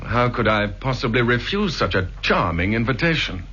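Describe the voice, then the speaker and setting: An actor playing a polite, wary husband caught up in intrigue in a vintage radio drama, courteous and sardonic. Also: male voice, medium pitch, sing-song, normal speed, close to an everyday voice. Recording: dull, very noisy vintage radio recording.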